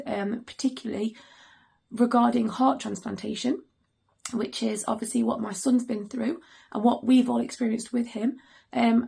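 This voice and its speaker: A middle-aged mother with a hoarse voice, hosting a serious vlog in a hesitant, earnest way with many pauses and ums. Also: fairly high pitch, a little mellow. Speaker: mother and channel host.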